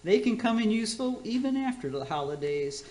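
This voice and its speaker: Tipsy brash auntie, swooping echoey voice. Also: female voice, fairly high pitch, sing-song, brisk, a little mellow. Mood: cooing, bawdy. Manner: rambling, gushing, boozy word slips. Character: tipsy, brash, bawdy auntie hosting a cooking radio show. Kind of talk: community theater radio comedy acting. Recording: roomy, echoing space.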